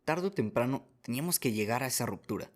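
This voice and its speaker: A man's voice: medium pitch, lively intonation, quick pace. A man reading scripted first-person story narration as the narrator of a radio drama.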